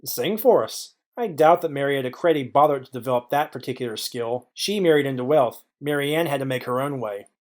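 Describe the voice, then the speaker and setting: A man acting as a cool noir private eye in a mystery audio play, in a medium-pitched voice. He lays things out deliberately, with confidence and wry wit. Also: natural voice. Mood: deductive. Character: cool, clever male private detective.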